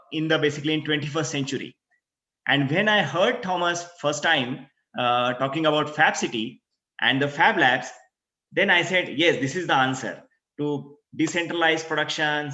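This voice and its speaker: A man anchoring an online forum session over a video call, introducing the next speaker haltingly with many fillers. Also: fairly high pitch, sharp tone, reverberant.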